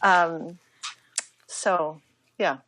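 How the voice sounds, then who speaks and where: American woman, lowish voice, dry close sound, reflective, halting, casual interview guest. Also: steady tone, a bit bright, no echo. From United States. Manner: reflective, halting, with fillers and self-quoting asides. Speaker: interview guest, yoga teacher and author.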